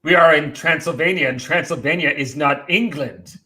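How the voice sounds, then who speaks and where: A man playing a commanding, possessive vampire count in a radio play, speaking in a theatrical, deliberate, declamatory way. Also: fairly high pitch, bright, forceful tone, pitched above the performer's own voice. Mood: imperious. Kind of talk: live comedic radio play reading.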